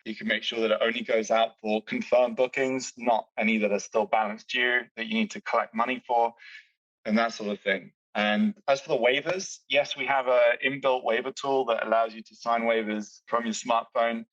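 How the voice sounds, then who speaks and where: A British man explaining the features of his company's booking software in a fluent, detailed podcast conversation. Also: medium pitch, steady tone, moderate pace, dry recording. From Britain. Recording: distant dull-sounding mic, dry.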